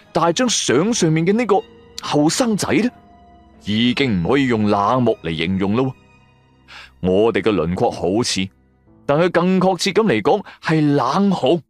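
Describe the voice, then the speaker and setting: A man with a Cantonese accent narrating a radio drama in the first person, with colloquial, theatrical delivery. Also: mid-range voice.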